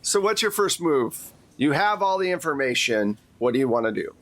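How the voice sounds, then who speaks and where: A man's voice: a little high, sing-song, normal speed, fairly bright tone. Casual man running a tabletop game. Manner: casual, profane, conversational storytelling. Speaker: game master. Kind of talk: narrating a tabletop role-playing game.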